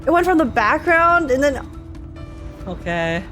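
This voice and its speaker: A young woman streaming a game with casual, excitable, slangy live commentary. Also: sits high, a little slow. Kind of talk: casual live reaction commentary over gameplay. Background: faint game music.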